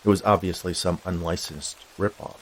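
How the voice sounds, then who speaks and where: A man's voice: low-pitched, fairly even intonation, normal speed, a little hiss or hum. A man reading a scripted story as its narrator.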